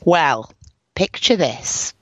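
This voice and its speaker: A woman's voice: low voice, animated, extremely slow, fairly bright tone, dry recording. A woman with a cold, talking as a podcast co-host in a jokey, chatty way. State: has a cold, voice lower than usual. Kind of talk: casual chat.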